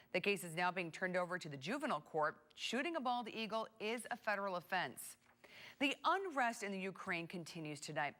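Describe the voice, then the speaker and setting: A woman's voice: medium pitch, a bit bright. A woman with an American accent reading scripted television news as an anchor in a studio.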